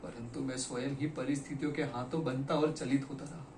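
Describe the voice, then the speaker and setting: A man performing a theatrical monologue as a broken, regretful poet, speaking dramatically and deliberately with weighted pauses. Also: medium pitch, steady tone, a little mellow, lightly acted. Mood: sorrowful, weary. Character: broken, regretful poet confessing to his lost beloved. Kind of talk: theatrical Hindi stage monologue. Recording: echoey room, distant mic, faint noise.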